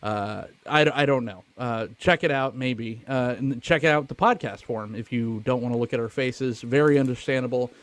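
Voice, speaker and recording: A man's voice: mid-range voice, moderate pace, few pauses, dry recording. Joking man hosting a casual podcast. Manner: joking, profane, self-deprecating. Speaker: podcast host. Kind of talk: casual movie review chat.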